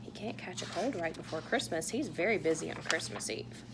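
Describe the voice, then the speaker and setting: A woman reading a picture book aloud to young children in a playful, animated way, asking exaggerated wondering questions. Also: sits low, brisk, heavy background noise. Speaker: children's read-aloud host.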